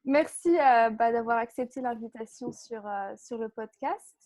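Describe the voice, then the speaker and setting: High-voiced woman interviewing a guest, friendly, lots of euh. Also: unhurried, a little mellow. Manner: friendly, hesitant with fillers. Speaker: podcast host, interviewer. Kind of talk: casual interview.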